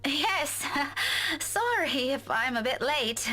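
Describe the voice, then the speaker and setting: A woman's voice: high-pitched, big pitch swings, takes it slowly, pushed and bright, heavily acted. An actress playing a polite, anxious woman in a visual novel game. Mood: polite, friendly. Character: polite, anxious woman looking for her friend. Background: music.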